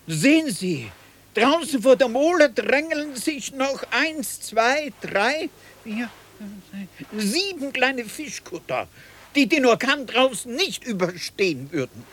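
Very high-pitched, slow harbour pilot. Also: male voice, very animated, a bit bright, heavily acted. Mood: admiring. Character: courteous harbour pilot, measured and admiring. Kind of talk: 1990s German radio play acting.

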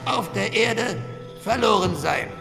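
An actor playing an exhausted revolutionary in a German radio drama, reading a grave indictment haltingly in a brittle, cracking voice. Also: male voice, high voice, lively intonation, creaky, exaggerated voice. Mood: solemn, strained. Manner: halting, solemn oratory. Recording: long echoing hall, music behind.

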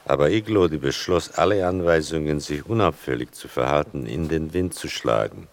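An older man giving a literary scripted narration in a measured, wry, deliberate manner. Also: extremely low pitch, expressive.

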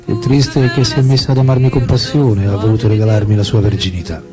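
A man in a radio drama playing a French philosopher-writer who confides his memories and love letters in an intimate, deliberate, literary way. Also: lowish voice, fairly even intonation, natural voice. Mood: tender, confessional. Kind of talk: theatrical radio drama monologue. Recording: music behind the voice.

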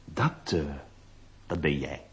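A man's voice: rumbling low, lively intonation, slow, noisy background, heavily acted. A male actor playing a flirtatious, easily flustered notary in a radio play, confiding and hesitant. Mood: tender, coaxing. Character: flirtatious, flustered ladies' man notary telling his story. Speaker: lead actor and narrator. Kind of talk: theatrical radio drama acting.

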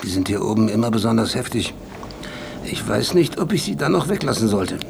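Gravelly, vain philosopher. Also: male voice, lowish voice, big pitch swings, fairly quick, background noise, exaggerated voice. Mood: wry, flirtatious. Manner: pompous, aphoristic, mocking. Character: vain, world-weary male philosopher-writer, sardonic and provocative. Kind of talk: German radio drama acting.

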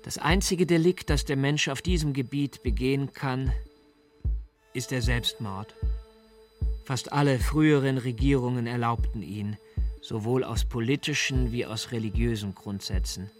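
Man acting a slow rhetorical philosopher over music. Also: mid-range voice, close to an everyday voice. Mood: polemical, emphatic. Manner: rhetorical, declamatory, deliberate. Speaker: reader of a philosophical pamphlet. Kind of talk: dramatic radio reading of a polemical text.

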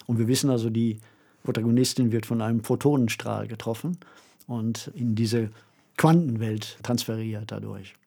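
A man's voice: medium pitch, steady tone, normal speed, vocal fry, warm tone, slightly rough. A man in a podcast discussion, explaining things precisely in a conversational way.

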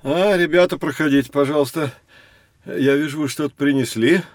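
An actor playing a kindly, encouraging professor who explains things in a friendly way in a children's audio drama. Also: male voice, on the high side, moderate pace, no echo, studio quality, close to an everyday voice. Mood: welcoming, reassuring.

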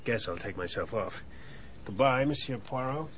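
An actor playing a brusque young radical in a radio drama, curt and sardonic. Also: male voice, mid-range voice, lively intonation, moderate pace, a bit bright, slight background noise, lightly acted. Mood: surly, dismissive.

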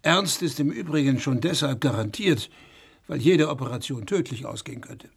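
A man's voice: medium pitch, lively intonation, normal speed, vocal fry, breathy edge, exaggerated voice. A man playing a blunt skeptical surgeon. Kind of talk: radio play acting.

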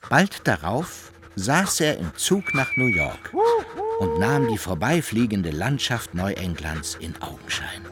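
An actor narrating a radio play as a formal, mock-solemn storyteller with literary phrasing. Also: male voice, on the low side, big pitch swings, takes it slowly, very noisy. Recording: music behind the voice.

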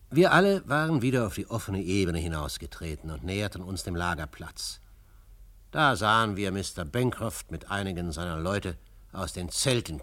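A reflective narrator recalling Western adventures for radio drama. His voice is very low and husky, in a scripted storytelling style. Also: a bit bright.

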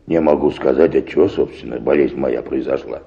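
An actor playing a wordy, obsequious, aggrieved church choir singer in a radio play. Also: male voice, a bass voice, fairly quick, gravelly, muffled recording. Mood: wordy self-excusing. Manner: rambling, clumsily formal, hesitant phrasing.

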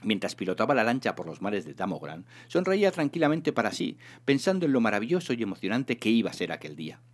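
A man with a fairly low voice narrates a comic science fiction novel. His reading is theatrical and emphatic, with highly animated intonation that rises and falls widely. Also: fast talker. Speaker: reader of a serialized humorous sci-fi novel. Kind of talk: expressive scripted narration, audiobook reading.

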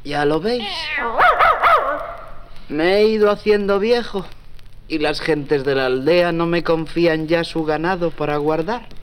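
An actor playing a kindly, weary old shepherd in a children's audio story, with a hesitant manner. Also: male voice, fairly high pitch, smooth, close to an everyday voice. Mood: weary, tender. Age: older. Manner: slow, hesitant, interjecting.

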